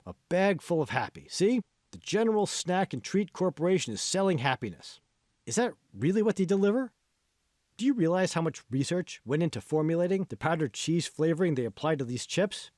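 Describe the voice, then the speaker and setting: A man's voice: a little high, very animated, brisk, darkish tone, no room sound, clean studio sound, voice pushed up. Earnest, emphatic male mentor, as a narrator plays him. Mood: emphatic, lecturing. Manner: emphatic, instructive. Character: older male mentor Hall, earnest, forceful, lecturing.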